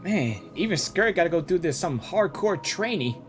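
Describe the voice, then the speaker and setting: A game reaction streamer giving casual commentary, halting and repeating words while thinking aloud. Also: mid-range voice, big pitch swings, faint music behind.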